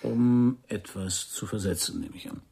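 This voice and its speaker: An actor playing a wry, unflappable private detective who talks politely but cheekily in a radio crime drama. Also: male voice, on the low side, steady tone, unhurried, mellow, breathy edge. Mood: casual, coaxing.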